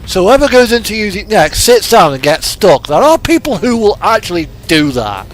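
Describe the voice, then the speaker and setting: High-pitched British man rambling over music. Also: sing-song, normal speed. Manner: crude, jokey, chuckles often. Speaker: game streamer. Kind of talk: casual rambling chat, telling anecdotes. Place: indoors over driving game audio. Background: music and vehicle engine sounds.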